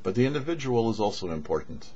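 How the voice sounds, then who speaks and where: A middle-aged man, a rabbi, giving a short religious teaching in a measured, explanatory way with deliberate pauses. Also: lowish voice, big pitch swings, mellow, breathy edge. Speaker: rabbi and show host. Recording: dull, lo-fi webcam-style audio.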